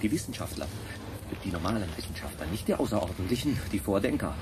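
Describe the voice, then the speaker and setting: A man's voice: lowish voice, very animated, quick pace, noisy background. An actor playing an opinionated, scornful male intellectual who argues rhetorically in a radio drama. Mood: sardonic. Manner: rhetorical, sardonic.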